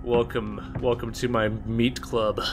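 A man's voice: medium pitch, level tone, slow, lightly acted. A man voicing an unhinged, meat-obsessed crime boss in an improvised comedy game dub, theatrical and given to shrieks. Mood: grandiose. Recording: loud game music behind voice. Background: loud game music.

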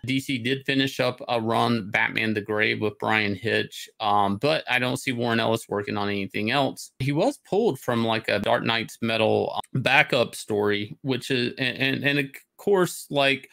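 A man's voice: mid-range voice, normal speed, creaky, hardly any pauses, sharp tone. A middle-aged man hosting a talk show, giving opinionated, casual commentary in a rambling, conversational way. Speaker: host of a comics talk show. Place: indoor room, on a video call.

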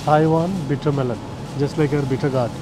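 A man's voice: a little high, steady tone, a little fast, music in the background, rough recording. A man with a South Indian accent giving casual walk-through commentary in short remarks, often checking with a tag question. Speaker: vlogger shopping with a young companion. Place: busy supermarket seafood and produce aisles. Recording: loud ambient noise throughout.

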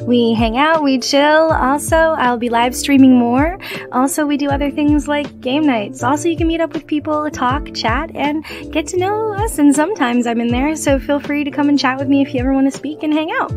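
High voiced lady chatting to her viewers like a channel host, music going behind her. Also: moderate pace. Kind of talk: casual outro addressed to viewers.

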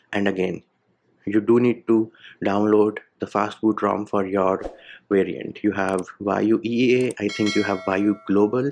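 A man narrating a screen-recorded software tutorial, explaining each step plainly with casual asides. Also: lowish voice. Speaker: tech tutorial host. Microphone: voice-over over screen capture.

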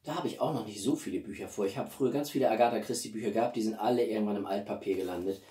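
A man's voice: lowish voice, racing, warm tone. Man vlogging in an echoey room. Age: 40s. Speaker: video blogger. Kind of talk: casual chatty vlog presenting gifts. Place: small living room, indoors. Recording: roomy echo, distant microphone.

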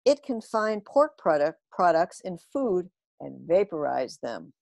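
A woman's voice: lowish voice, very animated, measured pace, creaky, a little mellow, no echo, heavily acted. A woman voicing a proud inventor father explaining his gadget matter-of-factly.

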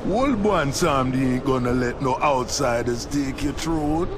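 An actor playing a sly, mocking troll spirit of death in a video game, with a Caribbean-style accent and a taunting, theatrical manner. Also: male voice, mid-range voice, measured pace, noisy background, natural voice. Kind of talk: dramatic video game acting.

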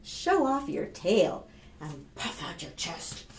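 A woman in her fifties reads a picture book aloud to preschoolers, expressive and instructive, addressing the children directly. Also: on the low side, takes it slowly. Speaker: children's storytime librarian. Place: small plain room indoors. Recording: roomy echo, faint background noise.

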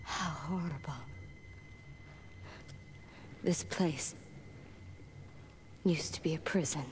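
An actress playing a defiant young female spirit medium in a video game cutscene, speaking in halting, breathless phrases. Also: deep voice. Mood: grim. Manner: slow, halting, breathless delivery. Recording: noisy old game cutscene audio.

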